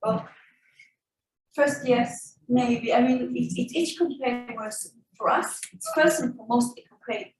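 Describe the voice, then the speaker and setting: A woman's voice: a little high, a little slow, darkish tone. A woman born in Israel, an activist answering questions on a workshop panel in an insistent, emphatic way. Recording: echoey room, faint noise.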